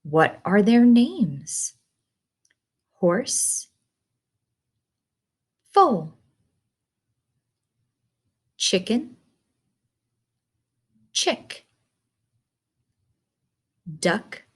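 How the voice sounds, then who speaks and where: A woman reading a children's picture book aloud in a simple, deliberate, instructive way, putting questions to the listener. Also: mid-range voice, big pitch swings, slightly rough.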